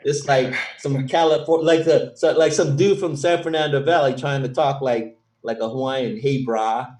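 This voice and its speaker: A Filipino American man from the United States, a guest telling relaxed stories in a casual interview. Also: mid-range voice, moderate pace. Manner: easygoing storyteller. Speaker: guest, stunt performer. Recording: echoey room, distant from mic.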